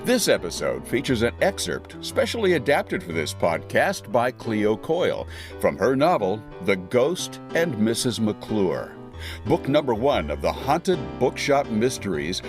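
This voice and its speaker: Male podcast host, scripted intro read with very animated, swinging intonation, plucked guitar music underneath. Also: lowish voice, a little slow.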